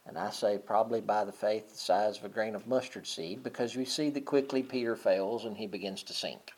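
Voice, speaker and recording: A middle-aged man preaching a sermon, talking casually and then swelling loud and high on key points. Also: brisk. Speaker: pastor.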